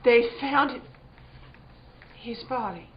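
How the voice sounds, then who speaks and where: An actress playing a careworn, protective rural wife, fretful and earnest in plain country dialect, in a radio play. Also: female voice, on the high side, drawn-out words. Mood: alarmed. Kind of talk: theatrical radio-play acting. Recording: dull, noisy lo-fi recording.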